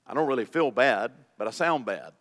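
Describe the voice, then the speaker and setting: A man preaching a sermon as pastor, his deep voice raspy and hoarse from illness, reading scripture with informal asides. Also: expressive, brisk, fairly bright tone. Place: church sanctuary. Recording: long reverb of a church hall.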